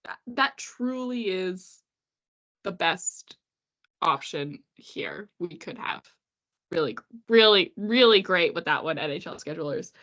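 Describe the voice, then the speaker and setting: A woman co-hosting a casual podcast, congested and sniffly with a cold, chatting quickly with frequent laughs and sarcastic asides. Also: fairly low pitch, expressive, a bit bright, muffled recording. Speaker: podcast co-host. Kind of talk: casual chat about hockey.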